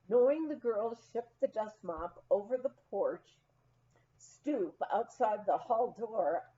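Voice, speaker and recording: A woman reading a humorous memoir aloud as an expressive, animated storyteller, acting out the dialogue. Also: mid-range voice, dull audio. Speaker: audiobook reader.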